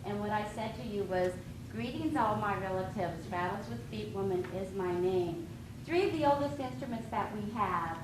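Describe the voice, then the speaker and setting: Woman explaining calmly in an echoey hall. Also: medium pitch, moderate pace. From Dakota Lakota and Ojibwe people. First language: Lakota Dakota. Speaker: flute player and dancer presenting to an audience. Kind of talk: educational talk to an audience. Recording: echoey hall from far off with noise.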